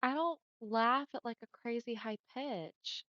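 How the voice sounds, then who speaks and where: A young woman streamer chatting casually with her viewers, friendly and often laughing, with a smooth voice on the deeper side for a woman. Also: measured pace, fairly bright tone, dry recording. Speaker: livestreamer. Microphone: headset mic. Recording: dull lo-fi headset mic.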